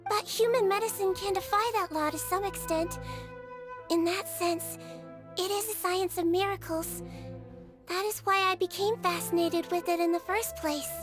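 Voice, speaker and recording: A woman voicing a gentle little female nurse creature in a game, speaking softly and reassuringly. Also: very high-pitched, fairly even intonation, normal speed. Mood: earnest. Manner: soft, patient, reassuring bedside way of talking. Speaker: game character. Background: game music.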